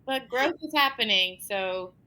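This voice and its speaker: A woman co-hosting a podcast in a casual, lively way, agreeing readily and telling small stories. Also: a little high, rough recording. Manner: lively, quick to laugh and agree. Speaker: podcast co-host.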